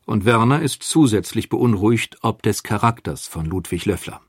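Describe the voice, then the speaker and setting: A man narrating a scripted history documentary in a calm, factual delivery. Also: on the low side, moderate pace, breathy edge, no echo, clean studio sound.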